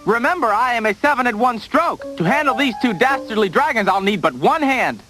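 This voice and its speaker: A man's voice: extremely high pitch, animated, brisk. A man playing a boastful, eager young tailor, the theatrical hero of a fairy-tale cartoon. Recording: music behind the voice.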